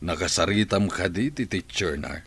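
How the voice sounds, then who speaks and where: Low-voiced, concerned father. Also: expressive, normal speed, fairly bright tone, slightly rough, exaggerated voice. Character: concerned middle-aged father. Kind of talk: radio drama acting.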